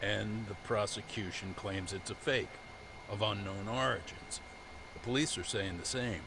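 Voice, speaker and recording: An actor playing a seasoned, skeptical senior lawyer in a crime drama game, measured and questioning. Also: male voice, fairly low pitch, steady tone, background noise. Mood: skeptical, probing. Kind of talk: dramatic English game voice acting.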